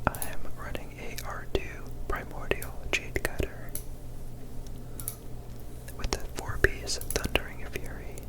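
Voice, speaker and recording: A gaming host whispering breathy ASMR commentary over gameplay, gentle and unhurried, close to the microphone. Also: poor audio.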